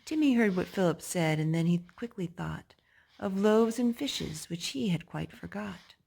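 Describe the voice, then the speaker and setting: A woman reading a rhyming children's story aloud in a deliberate storyteller's way, phrased for young children. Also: fairly low pitch, animated, vocal fry, a little mellow.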